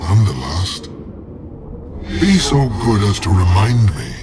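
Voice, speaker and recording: A proud, stern undead soldier from a video game. The voice is low and swings dramatically through formal, archaic declamation, with loud music underneath. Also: male voice, big pitch swings, slow, exaggerated voice. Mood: solemn, wary. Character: stern, proud ghost of a dead army standard bearer.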